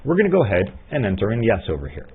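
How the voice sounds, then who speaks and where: A man with a fairly low voice narrates a software tutorial through a narrow, phone-like recording. His delivery is methodical and scripted, yet his intonation moves up and down a good deal as he explains each step. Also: huge pitch swings, rapid-fire, husky. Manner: methodical, instructional. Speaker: software trainer. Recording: muffled, phone-like narrow-band audio.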